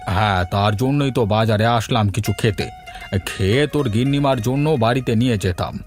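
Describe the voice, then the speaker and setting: A man's voice: lowish voice, moderate pace, close to an everyday voice. Man acting chatty village man, music behind. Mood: friendly, hungry. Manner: colloquial, chatty. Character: village men: elder headman, easygoing bachelor neighbour. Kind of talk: Bengali cartoon fairy-tale voice acting. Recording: music under the voice.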